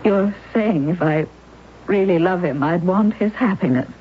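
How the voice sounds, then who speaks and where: An actress playing an anguished but resolute self-sacrificing wife in an old-time radio drama, with earnest, deliberate, dramatic phrasing. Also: female voice, fairly low pitch, slight background noise, natural voice. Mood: sorrowful resolve. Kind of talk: old-time radio drama acting and first-person narration. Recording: muffled old radio recording, music behind.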